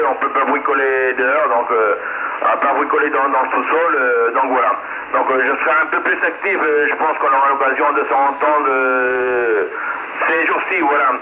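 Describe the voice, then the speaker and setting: A thin, band-limited CB radio transmission carries a man from France with a fairly high voice. He chats casually as one hobbyist to another. The signal is clearly noisy and comes out echoey through a speaker. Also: sharp tone, muffled recording. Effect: heard over CB radio. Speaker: CB radio operator. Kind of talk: casual amateur radio chat. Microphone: radio transmission played through a speaker.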